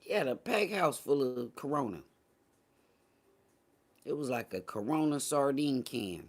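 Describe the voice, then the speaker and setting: A man's voice: medium pitch, animated, a little slow. Blunt, slangy American man chatting casually. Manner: blunt, opinionated, profane, slangy. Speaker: morning show host. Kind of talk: casual chat.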